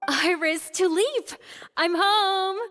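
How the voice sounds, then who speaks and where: A woman voicing a gentle, reassuring mother with a tender, soothing manner in a dramatic video game scene. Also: extremely high pitch, slow, slight background noise, exaggerated voice. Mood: warm greeting. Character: gentle, reassuring mother of two young daughters. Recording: long reverb, music underneath. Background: music.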